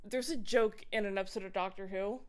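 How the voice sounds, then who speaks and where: Clear-voiced woman chatting on a podcast. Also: medium pitch, a little fast. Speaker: podcast co-host. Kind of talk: casual film discussion.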